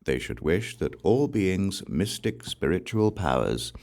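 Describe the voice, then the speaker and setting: A man reads sacred text in a very deep voice, slow and deliberate. His delivery is solemn and formal, yet the pitch moves expressively through each measured phrase, as in a careful audiobook narration. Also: big pitch swings, a little mellow. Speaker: audiobook reader. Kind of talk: scripted reading of Buddhist scripture.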